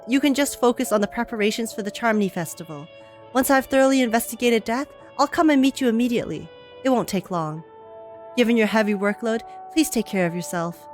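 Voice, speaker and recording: A woman streamer playing a video game, reacting casually and reading game text aloud. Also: a little high. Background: faint game music.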